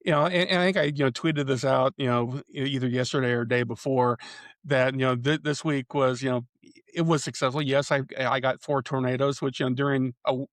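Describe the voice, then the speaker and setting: A man in his fifties from central Iowa talks through his storm chasing as an interview guest. He speaks at a middling pitch and wanders through his answers, leaning on "you know" and restarting his sentences. The recording is dry, with no room sound. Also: fairly even intonation, a little fast, no echo. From central Iowa, USA. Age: 50s. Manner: rambling, many "you know" fillers and restarts. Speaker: storm chaser and photographer, guest. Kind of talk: casual interview conversation.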